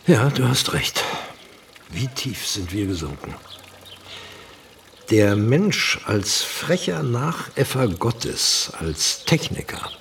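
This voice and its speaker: An actor playing a frail, courteous old Turkish gentleman in a radio play, speaking formally and with weight, a pious and grave sage. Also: lowish voice, very animated, gravelly, distant mic, slight background noise, full character voice. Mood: grave, warning. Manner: slow, deliberate, formal, weighty.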